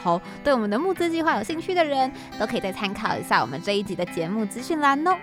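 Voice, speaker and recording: A woman's voice: on the high side, big pitch swings, fast talker, music in the background. A woman with a Taiwanese Mandarin accent co-hosting a podcast in a chatty, self-deprecating way. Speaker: podcast host and audio drama scriptwriter.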